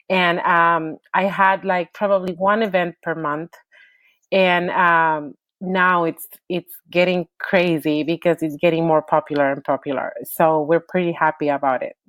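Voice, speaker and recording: A woman's voice: on the low side, no room sound. A woman from Peru with a Peruvian Spanish accent, talking upbeat about her entertainment business as a podcast guest, often restarting her sentences. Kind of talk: interview guest.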